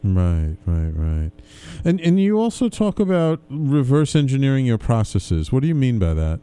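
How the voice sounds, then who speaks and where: Smooth, dark-voiced man hosting an interview. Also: mid-range voice, sing-song, normal speed, dry recording. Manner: agreeable, frequent affirming interjections. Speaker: show host, interviewer. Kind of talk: conversational interviewing.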